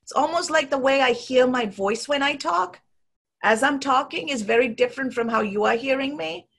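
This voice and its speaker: A woman's voice: sits high, moderate pace, a bit bright, slightly rough. A woman with a Sri Lankan accent hosting a podcast, explaining ideas in a conversational way and often checking in with "right?". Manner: explanatory, frequently adds "right?". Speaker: podcast host.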